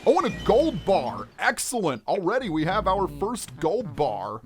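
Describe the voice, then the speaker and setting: Animated gaming commentator over game music. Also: medium pitch, unhurried. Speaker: gaming video host. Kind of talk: let's play commentary.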